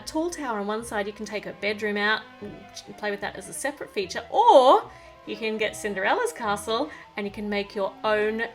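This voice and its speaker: A woman with a high voice that rises and dips in an animated way. She narrates a toy build for children in a chatty, playful style, full of exclamations, with music behind her. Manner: talks to the viewer. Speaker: toy review presenter.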